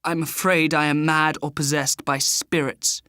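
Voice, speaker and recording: A man's voice: a little high, little pitch movement, measured pace, sharp tone, clean tone, dry recording, studio quality. A man reading a frightened, trembling man. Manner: shaken, pleading.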